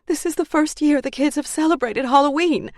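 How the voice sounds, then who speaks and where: A woman's voice: high-pitched, a little fast, darkish tone, no room sound, studio quality, voice pushed up, lightly acted. A woman voicing a frightened wife, a suspect's spouse, in an audiobook, with a tense, pleading voice. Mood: anxious.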